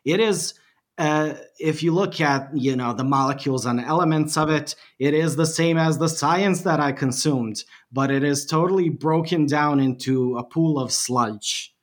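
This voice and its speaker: A man's voice: fairly high pitch, moderate pace. A man from Bosnia and Herzegovina, a podcast guest chatting animatedly and mocking himself with frequent laughs. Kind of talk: casual interview chat.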